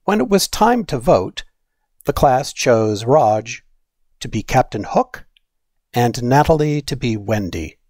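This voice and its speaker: A man reading a children's story aloud in an expressive, deliberate storytelling manner. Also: mid-range voice, no echo, clean studio sound. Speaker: storybook reader.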